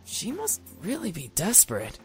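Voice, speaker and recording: An actor voicing a playful, boyish young man in a visual novel game, with a husky voice. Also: high-pitched, huge pitch swings, moderate pace, soft background music, heavily acted. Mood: light, explaining.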